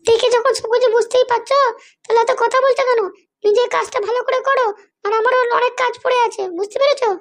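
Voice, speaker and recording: A performer voicing a scheming, power-hungry madam in a comedy cartoon, with a high childlike voice and a bossy, threatening manner. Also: steady tone, quick pace. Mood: threatening, impatient. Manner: bossy, threatening, self-justifying. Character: scheming, power-hungry madam, ruthless mother seeking political power.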